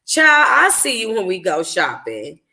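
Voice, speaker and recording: A woman's voice: mid-range voice, huge pitch swings, measured pace, bright, forceful tone, full character voice. A woman reading a storybook part as a jealous, dismissive young woman snapping at her friend. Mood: tense, curt. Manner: curt, dismissive.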